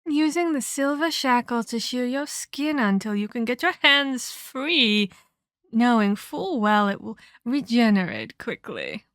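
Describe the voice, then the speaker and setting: A woman's voice: fairly high pitch, no room sound, studio quality. A woman playing a cruel, domineering ancient vampire in a dramatic ASMR roleplay, with a menacing, condescending, theatrical delivery and whispered emphasis. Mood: pleased, condescending. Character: cruel, domineering ancient female vampire scolding her captive pupil.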